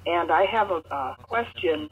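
A woman's voice: lowish voice, animated, unhurried, a little hiss or hum. Woman caller on a tinny phone line. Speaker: caller leaving a message. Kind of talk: casual phone message.

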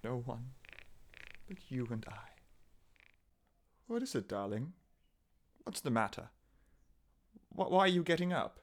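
An actor playing an anguished, obsessive husband in a melodramatic horror radio play, theatrical and pleading between murmurs and cries. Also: male voice, mid-range voice, very animated, a little slow, pauses often. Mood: tender, unhinged. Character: anguished, obsessive husband devoted to his cursed wife.

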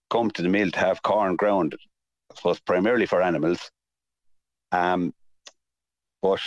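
A man with a south-east Irish accent explaining things unscripted in an interview, in measured, halting short phrases. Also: deep voice, dry recording, highs cut off. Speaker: mill restorer, interview guest.

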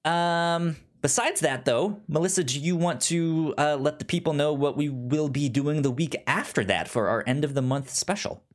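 A man co-hosting a casual film podcast, chatting in a halting way and often repeating words as he goes. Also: on the high side. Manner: halting, with stuttered word repeats. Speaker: podcast co-host.

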